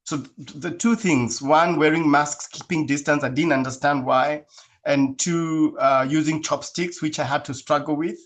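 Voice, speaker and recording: A man from Kenya who is a guest in an interview and answers casually in a measured, thoughtful way, with many fillers. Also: fairly high pitch, fairly even intonation.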